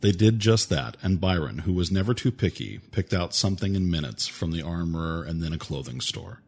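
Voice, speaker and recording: A man's voice: low voice, animated, fairly quick, slightly rough. A man narrating fantasy fiction as a scripted audiobook. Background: music.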